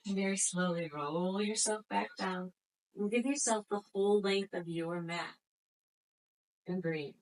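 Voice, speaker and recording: A woman guiding a floor exercise class as instructor, giving slow, gentle, soothing cues. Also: medium pitch, low-quality recording. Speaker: yoga instructor.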